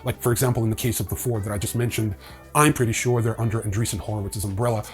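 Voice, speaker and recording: A man's voice: lowish voice, fairly even intonation, racing, faint music behind. A man hosting a news rundown in a casual, opinionated way with wry asides. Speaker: host of a crypto news show.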